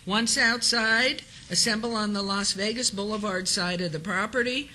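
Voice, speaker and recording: A hoarse woman runs a city council session from the chair, reading out formal notices in a crisp, bright tone. Her voice is roughened by a lingering cold. Between script items she turns briefly conversational with colleagues. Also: mid-range voice, normal speed, slight background noise. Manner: reads a set script, then chats lightly with colleagues. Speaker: meeting chair. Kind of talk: formal procedural meeting chairing.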